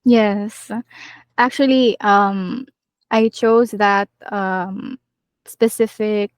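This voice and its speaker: A woman in her twenties, a call center agent being interviewed, answering earnestly with many pauses and ums. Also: mid-range voice, fairly even intonation, smooth, dry recording. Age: 20s. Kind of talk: casual interview chat.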